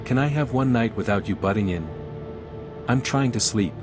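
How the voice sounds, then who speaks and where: A man's voice: mid-range voice, moderate pace, over music, close to an everyday voice. A man playing a practical, plain-spoken adventurer in a video game, with an everyday questioning delivery. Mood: irritated. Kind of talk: scripted video game acting.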